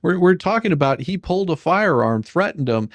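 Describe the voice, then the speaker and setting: Gravelly man in halting, opinionated chat. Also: high voice, animated, no room sound. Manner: halting, repeats and restarts phrases. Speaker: co-host commentator. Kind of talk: casual opinionated chat about a court case.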